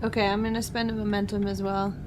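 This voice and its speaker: A woman playing a tabletop game, chatting casually in a playful, expressive way. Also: mid-range voice, quick pace, noisy background. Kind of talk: casual chat.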